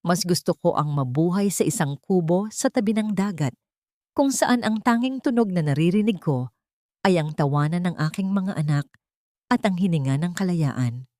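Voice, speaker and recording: A woman voicing a resolute, forgiving young mother with a solemn, measured delivery in an audiobook drama. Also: lowish voice, animated, darkish tone, dry recording, studio quality, lightly acted. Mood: tender, resolute.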